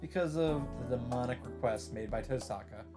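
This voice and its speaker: A man hosting a let's play, chatting casually and reading game narration aloud, thinking out loud as he goes. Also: medium pitch, a little fast, music in the background.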